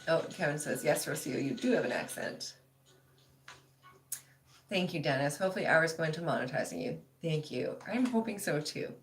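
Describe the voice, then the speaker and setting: A woman in her thirties hosting a casual livestream, reading chat comments aloud, laughing often and trailing off into soft asides. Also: low voice, lively intonation, moderate pace, soft background music.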